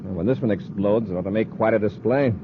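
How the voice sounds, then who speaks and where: Commanding space commander played by a male actor, American, rapid delivery, vintage radio serial, very noisy recording. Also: lowish voice, dull audio, close to an everyday voice. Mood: calm, assured. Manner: decisive, authoritative. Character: brisk, commanding space patrol commander, adult man. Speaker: radio drama lead actor. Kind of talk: 1950s radio adventure acting.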